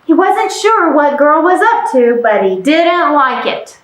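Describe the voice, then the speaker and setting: A woman's voice: sits high, very animated, slow. A woman presenting a children's storytime in an exaggerated, playful way, often putting questions to young listeners. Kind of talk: animated children's storytime, reading and acting out. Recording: roomy, echoing room sound.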